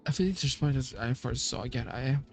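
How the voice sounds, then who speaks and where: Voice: medium pitch, fast talker, vocal fry, a little mellow. A game streamer giving casual playthrough commentary, hesitant and musing aloud.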